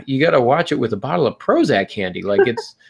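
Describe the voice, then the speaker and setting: A man's voice: medium pitch, very animated, moderate pace, gravelly, slight background noise. A man co-hosting a podcast, giving advice in an informal, emphatic way and often interrupting himself. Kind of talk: conversational advice talk.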